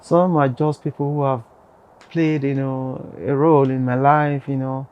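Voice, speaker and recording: A man's voice: medium pitch, flat delivery, a little slow, smooth, dull audio. Reflective Nigerian-born man artist. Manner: reflective, constantly saying "you know". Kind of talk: talking about his artwork.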